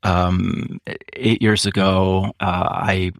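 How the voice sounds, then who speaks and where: Deep gravelly man. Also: level tone, no room sound, clean studio sound. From Switzerland. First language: German. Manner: measured, reflective, slows down often, frequent fillers. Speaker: author guest. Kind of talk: interview answers.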